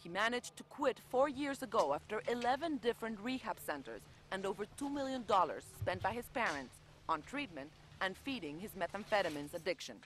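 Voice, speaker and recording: A woman correspondent narrating a scripted television news report in a measured, expressive way. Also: medium pitch, soft background music, rough recording.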